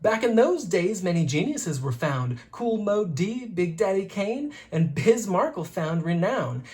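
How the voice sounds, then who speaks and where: Teacher reading a rhyming kids book out loud, medium pitch, really animated and rhythmic. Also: a little slow. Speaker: educator reading a children's book aloud. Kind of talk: rhyming picture-book read-aloud.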